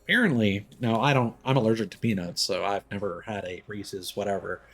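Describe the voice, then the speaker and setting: A man's voice: on the low side, brisk, fairly bright tone, slight background noise. A man streaming a game with casual commentary, thinking aloud as he plays.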